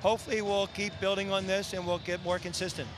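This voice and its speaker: An older man, a head coach, giving halting postgame answers full of fillers on the arena floor. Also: high-pitched, level tone, noisy background. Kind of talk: postgame interview.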